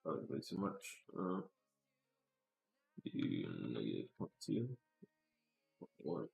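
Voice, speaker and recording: A man's voice: extremely low pitch, wildly swooping pitch, takes it slowly, creaky, a little mellow, faint music behind. A man thinking aloud casually on a livestream as he works, muttering to himself in slangy, sweary talk. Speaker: game developer live-streaming work. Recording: roomy echo.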